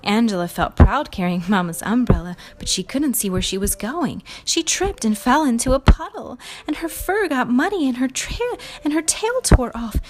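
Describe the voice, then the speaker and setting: A woman's voice: on the high side, big pitch swings, moderate pace, hardly any pauses, low-quality recording. A woman hosting a read-aloud, telling a storybook to young children with emphatic expression. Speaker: storybook reader and show host.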